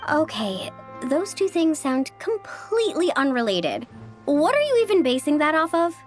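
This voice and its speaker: A woman voicing a small, feisty, skeptical fairy companion in a video game, squeaky and blunt, with music behind. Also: big pitch swings, moderate pace. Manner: blunt, exclamatory.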